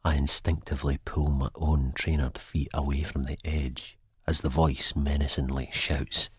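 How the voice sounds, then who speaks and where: An actor playing an anxious Scottish man in a first-person audiobook, with a deep, husky voice. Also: flat delivery, moderate pace, dry recording, clean studio sound, exaggerated voice. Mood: panicked dread. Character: anxious Scottish man narrating in sheer terror of an old enemy.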